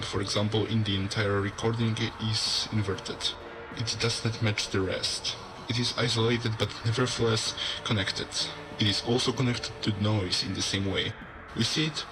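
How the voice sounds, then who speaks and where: A man playing a sober narrator who lays out an ominous theory in a measured, expository horror narration. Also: lowish voice, noisy background, close to an everyday voice. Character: male narrator soberly presenting a theory of apocalyptic anomalies. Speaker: narrator of an analog horror video. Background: background music.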